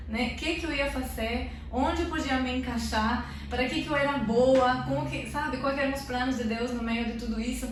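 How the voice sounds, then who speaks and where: A woman's voice: sits high, slight background noise. Woman sharing an emotional church testimony. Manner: earnest, tag questions, lively. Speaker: interview guest giving testimony. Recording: echoey room, mic at a distance.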